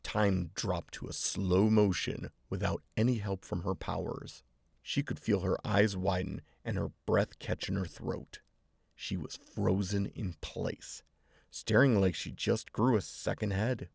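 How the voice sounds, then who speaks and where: Narrator: very low, gravelly and husky, dry and close. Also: steady tone, a little mellow, no echo. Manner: measured storybook reading. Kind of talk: scripted narration.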